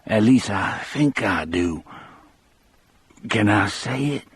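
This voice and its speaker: A male narrator plays a timid, hopeful death row prisoner with a gentle, halting manner. The voice is low and husky, simple and earnest, as in a dry audiobook recording. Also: expressive, dry recording, full character voice. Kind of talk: audiobook character dialogue.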